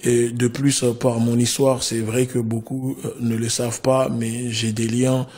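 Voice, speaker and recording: Hesitant African-born man, flat and husky. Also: medium pitch, measured pace. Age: adult father. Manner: hesitant, many fillers and restarts. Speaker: guest, spokesman of a movement. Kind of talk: casual interview talk.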